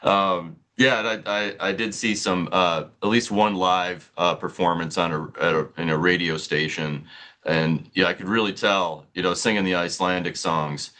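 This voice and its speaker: A man's voice: low voice, steady tone, normal speed, bright, forceful tone. A man interviewing a band in an easygoing, enthusiastic way. He laughs often and is generous with praise. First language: English. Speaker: music interviewer. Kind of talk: casual interview.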